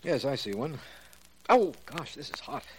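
An American actor playing an earnest, admiring district attorney in a 1940s radio drama. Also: male voice, mid-range voice, very animated, a little slow. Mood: earnest, impressed. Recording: noisy old radio recording.

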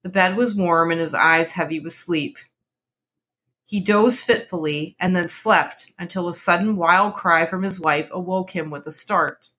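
A woman's voice: on the low side, a little slow, bright, forceful tone, breathy edge. A woman narrating a literary horror story as a dramatic reading.

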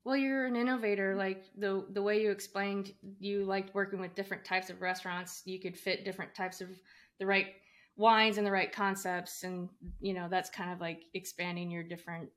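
A woman hosting a podcast interview, chatty and quick to laugh as she asks her guest about himself. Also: medium pitch, level tone, a bit bright. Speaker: podcast host. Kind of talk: casual interview.

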